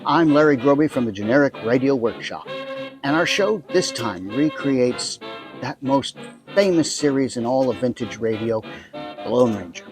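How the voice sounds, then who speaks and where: A man's voice: mid-range voice, lively intonation, moderate pace, over music. An American man hosting an introduction to a radio drama, talking casually with wry, chatty asides. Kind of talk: casual scripted introduction to camera.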